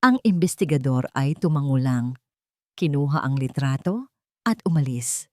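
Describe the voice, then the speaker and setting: A woman narrating a scripted dramatic story in measured phrases with pauses, as an audiobook reader. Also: extremely low pitch, expressive, warm tone, dry recording, clean studio sound.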